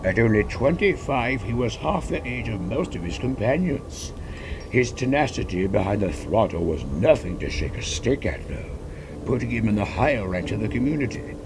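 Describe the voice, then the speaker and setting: A man's voice: fairly low pitch, big pitch swings, moderate pace, noisy background. A man reading a story aloud as a scripted narration. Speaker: fan fiction reader, narrator.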